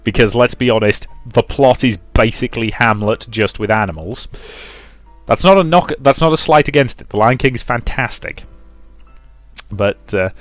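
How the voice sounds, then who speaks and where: A man's voice: mid-range voice, normal speed. A man hosting a let's play, moving between casual commentary and reading a visual novel's story aloud. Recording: roomy echo with music behind. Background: game music.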